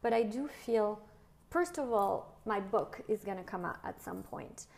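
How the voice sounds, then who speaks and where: A woman's voice: medium pitch, animated, measured pace, darkish tone. A middle-aged woman hosting a casual conversation, talking loosely with many fillers and prompts. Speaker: host. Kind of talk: casual interview chat.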